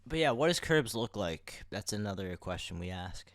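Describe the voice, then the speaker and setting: A man's voice: fairly low pitch, big pitch swings, moderate pace, a bit bright, no echo. A man co-hosting a podcast in informal, playful banter, speaking close to the microphone. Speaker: podcast co-host.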